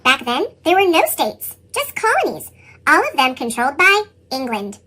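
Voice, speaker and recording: A woman reading a children's picture book as an earnest young boy, with a squeaky pitched-up chipmunk effect. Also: moderate pace, exaggerated voice. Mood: determined. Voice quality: squeaky, sped-up chipmunk sound. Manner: animated children's read-aloud delivery. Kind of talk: scripted picture-book narration.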